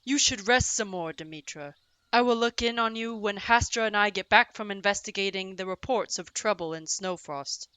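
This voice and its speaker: A woman's voice: mid-range voice, normal speed, bright, forceful tone, smooth, dry recording, natural voice. A woman playing a bold, spirited warrior sister in a fantasy audio drama, with formal diction. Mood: caring. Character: bold, battle-loving warrior sister, protective and hearty.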